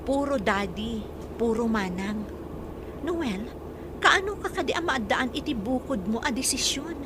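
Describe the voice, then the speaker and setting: Frank young Ilocano woman, high voice, noisy. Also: lively intonation, unhurried. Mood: frustrated. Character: Shirley, earnest young woman, frank and principled daughter and girlfriend. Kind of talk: Filipino radio drama acting.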